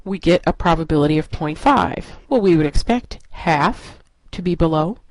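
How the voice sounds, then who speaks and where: A woman's voice: fairly low pitch, lively intonation, moderate pace, a little mellow, no echo. A woman instructor narrating a lesson in an explanatory, step-by-step way. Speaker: statistics instructor.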